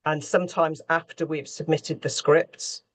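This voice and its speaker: A woman's voice: low voice, flat delivery, measured pace. A middle-aged or older woman, a scriptwriter, explaining her work in conversation on a panel. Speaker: radio drama scriptwriter on a panel.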